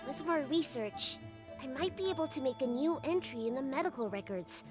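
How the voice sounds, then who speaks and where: High, lively little girl healer. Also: fairly quick. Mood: flustered, concerned. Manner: expressive, stammering when flustered. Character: flustered, excitable young girl dragon healer. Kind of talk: video game voice acting. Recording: loud game music behind. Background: game music.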